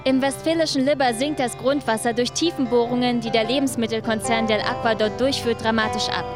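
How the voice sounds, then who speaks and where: An actress in a radio drama playing a serious, probing journalist who narrates in a scripted documentary style. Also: female voice, fairly high pitch, fairly even intonation, fairly quick, over music, close to an everyday voice. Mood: factual, serious. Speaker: reporter and narrator.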